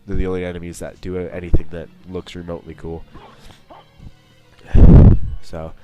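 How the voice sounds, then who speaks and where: Young male let's-play host, bass-low voice, stuffy with a cold, rambling and wry. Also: completely flat delivery, moderate pace, muffled recording, over music. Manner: rambling, self-mocking, chatty. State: sniffling from a cold. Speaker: gaming channel host. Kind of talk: let's play commentary over gameplay.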